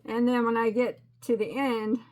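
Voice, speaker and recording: A woman's voice: on the high side, little pitch movement, normal speed, darkish tone. A woman hosting a crochet channel, chatting casually and rambling, laughing at her own mistakes. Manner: rambling and self-deprecating, with frequent restarts and laughs. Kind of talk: casual chatty crafting update.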